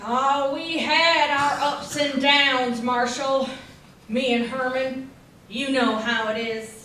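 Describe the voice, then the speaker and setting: A woman's voice: high voice, steady tone, slow pace, bright, forceful tone, a little hiss or hum, heavily acted. A woman playing a brash, hot-tempered, boastful gunslinger with a folksy drawl in an old-time radio western. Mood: indignant, wry. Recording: very echoey room, distant mic.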